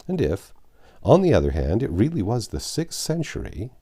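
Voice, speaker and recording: Low, mellow, very animated male narrator. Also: normal speed. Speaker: audiobook narrator. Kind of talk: expressive literary storybook narration.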